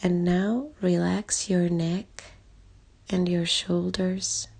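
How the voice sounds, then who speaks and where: A woman leading a guided body scan meditation in a calm, soothing way, speaking in short soft phrases with long pauses and a breathy edge. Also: fairly low pitch. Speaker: meditation guide, life coach. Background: background music.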